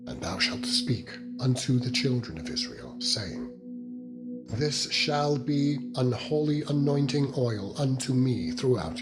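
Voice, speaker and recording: A man narrating a dramatized Bible reading in a solemn, measured manner. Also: mid-range voice. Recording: music under the voice. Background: background music.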